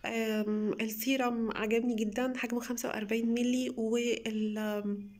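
A young woman with an Egyptian Arabic accent, slightly hoarse from a cold, chatting enthusiastically to viewers as she reviews beauty products at home. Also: on the high side, little pitch movement, normal speed, darkish tone. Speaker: beauty vlogger. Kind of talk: casual product haul review.

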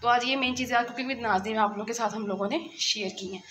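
A fairly high-voiced woman talks viewers through a recipe in a relaxed, repetitive way, blessing each step as she cooks. She is recorded on a phone in a home kitchen. A faint hum of room noise sits behind her voice. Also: fast talker. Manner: chatty, repeats words, Islamic blessings before each step. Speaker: cooking channel host. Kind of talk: casual cooking tutorial narration.

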